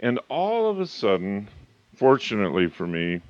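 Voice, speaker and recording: A man in his fifties or older giving a motivational talk as a coach and author, emphatic and slowing down hard on key points. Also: fairly low pitch, wildly swooping pitch, darkish tone, dry recording. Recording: slightly muffled, dry recording.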